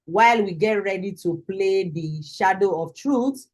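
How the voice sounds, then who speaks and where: A woman with a Nigerian accent hosts an online course. Her voice is mid-pitched, and she presents as an instructor on a video call. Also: measured pace.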